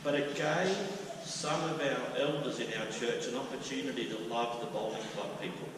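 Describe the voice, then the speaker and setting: A middle-aged man, a guest speaker telling stories to a church in a loud, chatty way. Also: mid-range voice, normal speed, a crowd in the background. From Australia. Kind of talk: church talk. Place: church hall. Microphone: handheld mic. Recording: echoey hall from far off, with noise.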